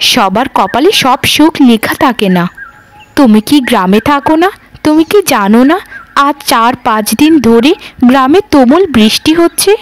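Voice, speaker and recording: A woman voicing a poor, humble village woman in a cartoon, long-suffering and deferential, speaking in a pleading, lamenting way. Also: a little high. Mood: resigned. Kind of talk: cartoon voice acting.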